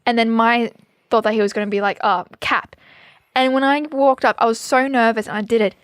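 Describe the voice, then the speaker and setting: A woman's voice: a little high, steady tone, a little fast, clean tone, no room sound, clean studio sound. Chatty young woman co-host. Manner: storytelling, hesitant with fillers. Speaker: podcast co-host. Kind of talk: casual podcast chat.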